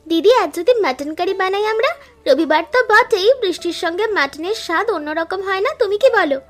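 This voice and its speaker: A woman's voice: very high voice, animated. Woman voicing a chirpy young daughter-in-law. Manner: chirpy, quick, affectionate. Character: lively younger daughter-in-law, modern and eager. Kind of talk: cartoon voice acting.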